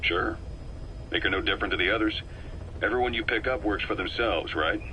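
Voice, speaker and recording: Cool mercenary intel officer, video game briefing, real deep voice, measured n wry, bit of tape noise. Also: male voice, pushed and bright. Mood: persuasive. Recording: noisy radio-like cassette tape recording.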